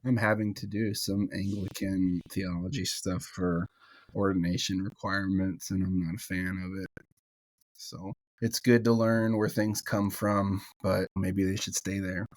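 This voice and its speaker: A man talking as a guest on a podcast, relaxed and chatty, with dry, deadpan humor. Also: deep voice, a little slow, no echo, rough recording. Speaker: podcast guest, ordination candidate. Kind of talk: casual podcast conversation.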